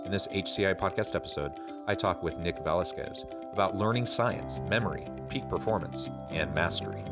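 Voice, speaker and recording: A man's voice: low-pitched, fairly quick, loud music behind the voice. A man hosting a podcast interview, enthusiastic and chatty, with frequent "um"s and restarts. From United States. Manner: enthusiastic, filler-heavy conversational hosting. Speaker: podcast host.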